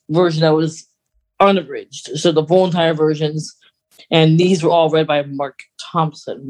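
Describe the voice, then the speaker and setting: Higher-voiced man, halting podcast co-host. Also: low-quality recording. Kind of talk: casual fan discussion.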